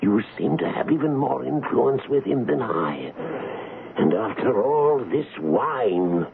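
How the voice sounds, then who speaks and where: A worried loyal colonel, old radio play, formal and urgent, really varied pitch, thin hissy sound. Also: male voice, big pitch swings, slow pace, gravelly, heavily acted. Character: anxious, dutiful colonel, aide to a king. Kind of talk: 1970s radio drama acting. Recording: noisy narrow-band vintage radio broadcast.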